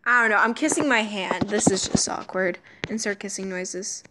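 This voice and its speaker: A woman's voice: medium pitch, moderate pace, background noise. A woman doing an amateur fan comic dub, reading casually with self-conscious, apologetic asides. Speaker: comic dubber.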